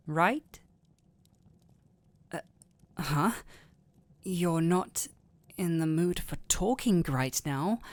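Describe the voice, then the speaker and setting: A woman voicing a gentle, caring male doctor in an intimate fantasy audio roleplay, tender and softly questioning. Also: lowish voice. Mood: fond, teasing.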